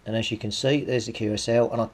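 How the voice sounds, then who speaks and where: A British man giving a casual how-to commentary, thinking aloud and muttering informally to himself as he works. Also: quick pace. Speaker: hobbyist video host. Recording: steady background noise with low rumble.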